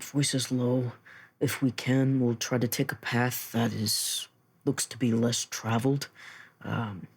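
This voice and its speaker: Slightly husky, gravelly man role-playing. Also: medium pitch, a little mellow. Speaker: tabletop role-play podcast player. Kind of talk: in-character improvised role-play and casual table talk.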